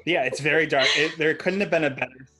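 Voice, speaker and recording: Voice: medium pitch, fast talker, bright, forceful tone. A host asking casual interview questions with lots of ums, often trailing off with "or?".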